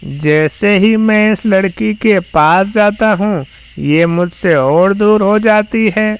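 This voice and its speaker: A man playing a smug, girl-crazy young fellow with a dreamy, boastful manner in an animated horror story. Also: sits high, takes it slowly, slight background noise. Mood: puzzled.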